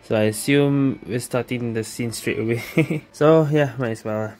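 Very low-voiced woman gamer. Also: normal speed. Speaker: gaming video host. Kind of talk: casual let's play commentary. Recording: game music behind the voice.

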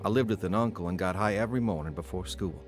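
A man's voice: low voice, fast, soft background music, natural voice. An actor playing a troubled man who earnestly narrates his own unhappy youth in an audio drama. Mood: somber reflective. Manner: earnest, confessional.

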